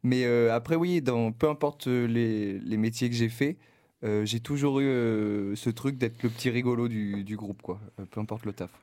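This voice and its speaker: A man's voice: medium pitch, normal speed, mellow. A man, a stand-up comedian chatting as a guest with frequent laughs and hesitations. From southeastern France, Var. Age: 20s. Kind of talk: casual interview chat.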